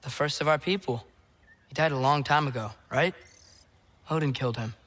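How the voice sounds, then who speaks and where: A man's voice: medium pitch, moderate pace, fairly bright tone, close to an everyday voice. A young male actor playing an earnest, curious, slightly awkward teenage boy in a video game, talking casually and hesitantly. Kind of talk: naturalistic video game acting.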